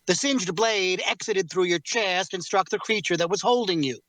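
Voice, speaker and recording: A man playing a formal, guarded cursed warrior in an audio drama table read, speaking courteously in measured, deliberate phrases. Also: high-pitched, a bit bright, dry recording, poor audio. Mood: matter-of-fact.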